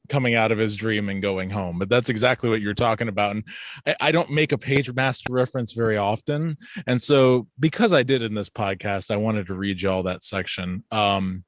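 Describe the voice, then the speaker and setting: A man's voice: on the low side, no room sound. A man talking casually about books and films as a podcast host.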